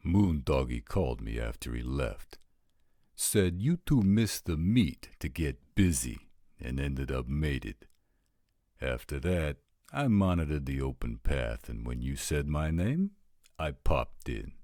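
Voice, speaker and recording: A man's voice: extremely low pitch, expressive, slow, warm tone, no room sound, studio quality, exaggerated voice. A man playing a blunt, roguish supernatural scout who talks in casual street slang, in an audio roleplay drama. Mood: wry, unbothered.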